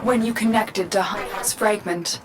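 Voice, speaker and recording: A woman playing a grave, commanding guide in a video game, speaking in a solemn, measured way. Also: medium pitch, fairly even intonation, natural voice. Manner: solemn, measured, urgent in danger. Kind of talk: video game character acting.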